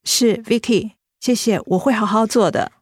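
A woman's voice: fairly high pitch, moderate pace, dry recording, clean studio sound, lightly acted. An actress playing a sweet young female office worker, polite and a little guarded, in a radio drama. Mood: evasive, polite. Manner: polite, soft-spoken, evasive.

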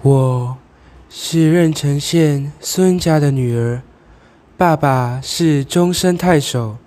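A man's voice: a little high, slow pace, warm tone. A teenage male student playing a commanding, grieving ghost of a noble wife in an amateur radio drama, speaking formally. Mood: sorrowful.

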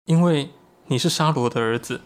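An actor playing an earnest, excitable young shapeshifting tribesman in a Chinese audio drama, explaining things expressively. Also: medium pitch, normal speed, natural voice.

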